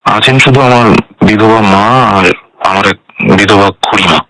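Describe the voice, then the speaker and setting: A man's voice: lowish voice, normal speed, bright, forceful tone, slight background noise, rough recording. An actor playing a guarded, polite male secretary in a radio drama, answering carefully and defensively.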